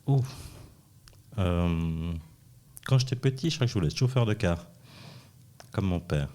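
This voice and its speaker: A man's voice: low voice, lively intonation, a little slow, a little mellow. A middle-aged man, chatting casually as an interview guest in a joking, self-deprecating way. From born in Chile, raised in Switzerland from age five. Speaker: podcast guest, dance school founder. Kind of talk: casual chat.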